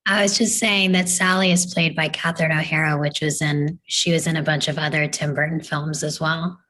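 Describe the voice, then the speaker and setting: A woman's voice: low voice, level tone, brisk, a bit bright. A woman sharing information casually in a group discussion.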